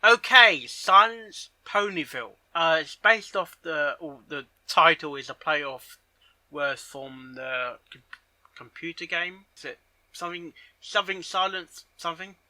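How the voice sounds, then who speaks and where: A man hosting a reaction video, commenting excitably, with loud announcements and halting, muttered asides. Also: on the high side, no room sound, rough recording. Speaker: host of a reaction channel.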